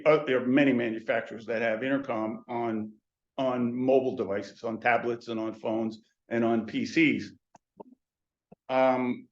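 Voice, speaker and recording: Older guy explaining intercom gear, lots of restarts and ums, kinda muffled lo-fi audio. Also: mid-range voice. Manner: rambling, many restarts and fillers. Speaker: intercom industry educator. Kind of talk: webinar presentation.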